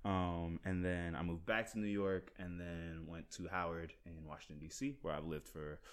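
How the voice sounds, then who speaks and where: A man from Liberia chats as a podcast guest in a very low voice. He rambles in a friendly way, filling pauses with ums. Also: flat delivery. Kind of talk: casual podcast chat.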